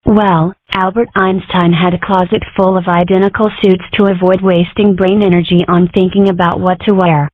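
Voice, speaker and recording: A woman's voice: lowish voice, flat delivery, normal speed, hardly any pauses, no room sound, lightly acted. A woman voicing an inquisitive, dramatic young cartoon girl in a comic strip dub, with wry, theatrical musing. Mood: scheming, musing. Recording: muffled phone-like audio.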